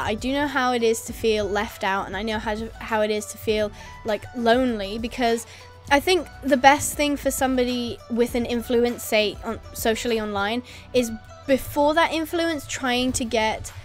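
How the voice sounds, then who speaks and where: A young English woman, a YouTuber, chatting casually to camera as she answers viewer comments, with frequent ums and laughs. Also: fairly high pitch. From England. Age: young adult. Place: indoors in front of a green screen. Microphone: facing camera at close range.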